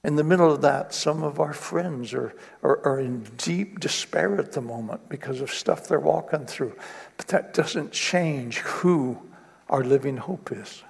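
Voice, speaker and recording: A man's voice: on the high side, unhurried, gravelly, slightly rough. A man preaching a sermon in an earnest way, building to emphatic repetition. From East Africa. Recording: long reverb of a hall.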